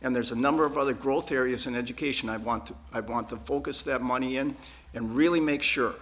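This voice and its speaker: A man's voice: medium pitch, fast talker, a bit bright. A man speaking as a school board candidate at a forum, earnest and emphatic, repeating words to drive points home. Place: public access TV studio.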